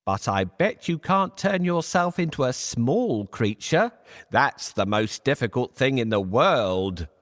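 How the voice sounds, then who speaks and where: A man's voice: mid-range voice, sing-song, measured pace, a bit bright. A man voicing a sly, bold talking cat who speaks persuasively and theatrically in a children's fairy tale. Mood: flattering, cunning.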